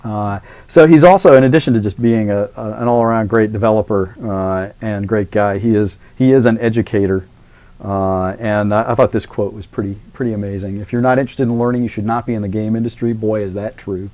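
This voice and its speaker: A man's voice: lowish voice, steady tone, a little fast, dark tone. A middle-aged man introducing a guest in a university lecture, chatty and rambling, full of filler words and self-mocking asides. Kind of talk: live classroom lecture introduction.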